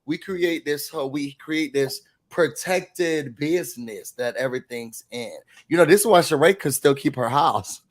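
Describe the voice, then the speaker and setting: A slightly hoarse man hosting a casual TV recap chat, telling the story animatedly and acting out quotes. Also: fairly high pitch, moderate pace, few pauses. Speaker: recap show host.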